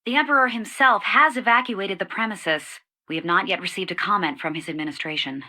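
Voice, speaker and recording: An actress playing a formal, brisk news reporter reading a scripted bulletin in a game. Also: female voice, medium pitch, pushed and bright. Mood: neutral, formal.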